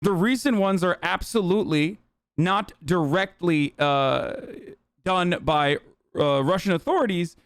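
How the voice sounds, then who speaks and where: A man's voice: high-pitched, unhurried, smooth, no room sound. A man streaming political commentary, reacting to clips bluntly and profanely with many fillers.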